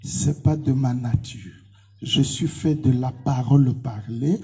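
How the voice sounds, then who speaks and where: A man's voice: medium pitch, takes it slowly, darkish tone, husky. A man with a Congolese accent, a pastor answering interview questions in short, emphatic, repeated declarations.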